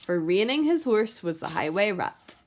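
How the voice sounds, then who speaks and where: A woman reading aloud to young children as an expressive picture-book storyteller. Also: medium pitch, moderate pace.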